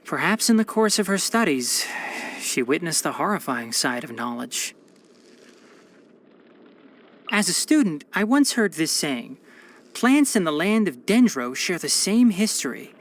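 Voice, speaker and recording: A man's voice: a little high, sing-song, normal speed. A man voicing a brainy, caring young fox-eared forest watcher in a video game, articulate and bookish with a dryly sardonic manner. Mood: thoughtful. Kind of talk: scripted video game character voice lines.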